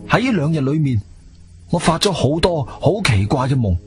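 A man's voice: fairly high pitch, very animated, moderate pace, darkish tone. An actor with a Cantonese accent playing an adventurer hero who narrates his own ordeal as dramatic scripted storytelling. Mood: reflective. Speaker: first-person narrator. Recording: background music under the voice.

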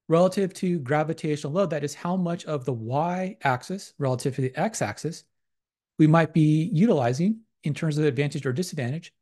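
A man's voice: on the high side, a little mellow. A man talks through a slide lecture in a slightly raised voice. He is methodical and academic, circling back over the same technical terms as he explains. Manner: methodical, repetitive academic phrasing. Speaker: exercise science lecturer.

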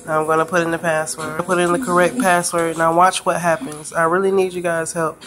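Woman, monotone home recording, fed-up help request, music behind. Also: on the low side, fairly quick. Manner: flat, frustrated help request. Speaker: vlogger asking for help. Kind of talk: casual phone screen recording. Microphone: phone camera filming a handheld screen.

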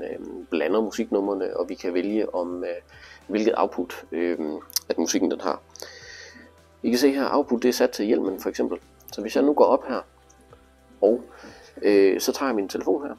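A man's voice: on the low side, creaky, mellow, breathy edge. A man giving a casual, hands-on tutorial, explaining things informally step by step. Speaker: instructor demonstrating motorcycle display.

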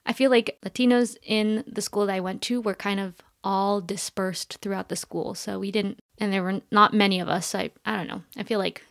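Young woman guest, animated and chatty, laughs often, dry room sound. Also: mid-range voice, fairly quick, gravelly, few pauses, no room sound. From United States. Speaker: podcast guest. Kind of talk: casual conversation.

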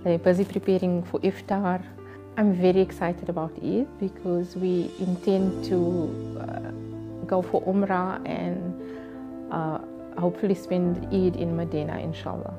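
A woman, gently explaining in an interview at home. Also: lowish voice, little pitch movement, unhurried. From South Africa. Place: living room, indoors. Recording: music underneath.

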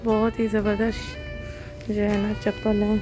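A woman's voice: mid-range voice, monotone, slow pace, over music. A woman from Pakistan chatting through a shopping vlog, filmed on a handheld phone in a shoe shop inside a large mall. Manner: chatty, enthusiastic about products. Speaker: vlogger. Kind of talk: casual shopping vlog narration. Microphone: handheld phone camera.

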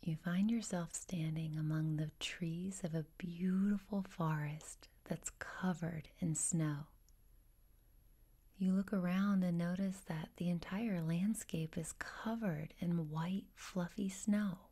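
Slow, breathy woman guiding meditation. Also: fairly low pitch, fairly even intonation. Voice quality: breathy, whispery, husky. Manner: soothing, gentle, unhurried. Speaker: meditation guide. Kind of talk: guided meditation, soft instruction.

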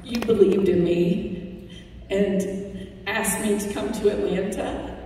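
A woman's voice: mid-range voice, steady tone, takes it slowly, warm tone, a little hiss or hum. A woman radio host giving a heartfelt award acceptance speech with wry jokes, speaking at a podium in a large banquet hall. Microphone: podium microphone, recorded from the audience. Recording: echoey hall recorded from far off.

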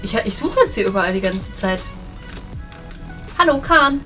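A woman's voice: medium pitch, expressive, moderate pace, sharp tone, faint music behind. A young adult woman in casual everyday conversation, picked up by a camera across a room indoors. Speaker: colleague and target of hidden-camera pranks. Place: indoor office and studio kitchen. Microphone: hidden camera across the room.

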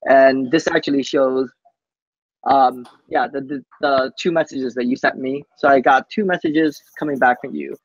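A man giving a casual live software demo on a team call, speaking haltingly and often tacking "basically" onto sentences. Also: mid-range voice, fairly even intonation, no echo. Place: coworking space, on a group video call. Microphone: video call microphone. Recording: muffled video call audio.

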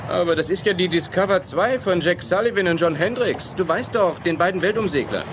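A man's voice: high voice, lively intonation, fairly quick, noisy background. An actor playing a confident, impatient yachtsman in an audio horror drama, brusque and given to projected calls. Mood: assured.

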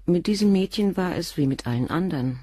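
An actress playing a composed middle-aged doctor's wife and former nurse, speaking frankly and in a measured way in a radio drama. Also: female voice, fairly low pitch, expressive, warm tone, husky, no room sound, clean studio sound. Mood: candid. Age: 40s. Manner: measured, frank, controlled confession. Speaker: witness questioned by the inspector.

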